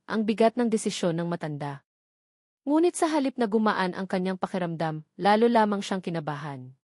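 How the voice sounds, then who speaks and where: A woman's voice: medium pitch, a little mellow, no room sound, studio quality. A woman reads a scripted romance story as narrator, in a dramatic, emphatic storytelling manner.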